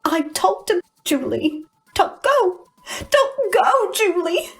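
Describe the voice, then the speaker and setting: A woman playing a melodramatic Roman widow with a shrill, sobbing wail in an old-time radio comedy. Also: big pitch swings, slow, heavily acted. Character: melodramatic wailing Roman widow, a brassy dame.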